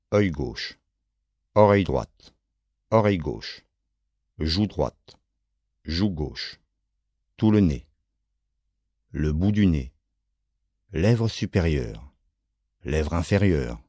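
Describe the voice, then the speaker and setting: Deep, very slow male relaxation guide. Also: no room sound, clean studio sound. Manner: calm, measured, short phrases with pauses. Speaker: yoga nidra relaxation instructor.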